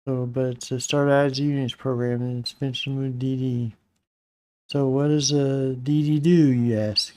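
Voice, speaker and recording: Slightly raspy man hosting a live stream. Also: mid-range voice, little pitch movement, unhurried, a little mellow, no room sound. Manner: rambling, with fillers and restarts. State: slightly raspy, clearing his throat. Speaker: host of a live-streamed Linux tutorial. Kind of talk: casual live-stream tech walkthrough.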